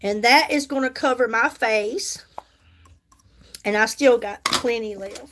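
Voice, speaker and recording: Woman, 50s, livestream makeup host; high voice, unhurried, chatty, talks to viewers by name. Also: noisy background. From United States. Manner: chatty, reads and answers viewer comments, repeats herself for emphasis. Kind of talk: casual livestream chat while doing makeup.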